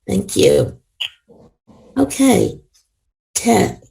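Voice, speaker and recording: A woman's voice: medium pitch, sing-song, very slow, vocal fry, warm tone, a little hiss or hum. A woman professor leading an online class, calling on each participant and taking care to pronounce their names. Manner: careful with names, checks pronunciation. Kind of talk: calling on participants in a video meeting. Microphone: video call.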